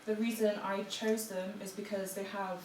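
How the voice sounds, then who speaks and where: A woman's voice: medium pitch, flat delivery, normal speed, a little mellow. Hesitant young woman in an echoey room. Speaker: student presenter. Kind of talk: informal class presentation. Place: room in front of an audience. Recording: echoey, noisy room, distant mic.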